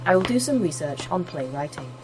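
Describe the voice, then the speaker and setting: Deadpan, low-pitched facility A.I. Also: female voice, a little fast, background noise. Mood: formal, earnest. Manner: formal, deadpan. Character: literal-minded, polite artificial intelligence of a facility. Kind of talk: audio fiction acting.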